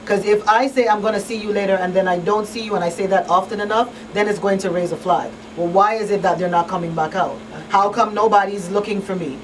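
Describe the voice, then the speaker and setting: A woman's voice: mid-range voice, fairly even intonation, fast, hardly any pauses. Emphatic woman on a distant mic. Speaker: talk show panelist. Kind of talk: conversational panel discussion. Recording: distant mic, faint background noise.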